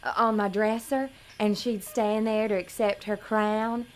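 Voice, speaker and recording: A woman's voice: mid-range voice, flat delivery, unhurried, natural voice. A woman playing a naive, earnest young pageant contestant in an audio drama, prim and melodramatic in manner. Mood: wistful.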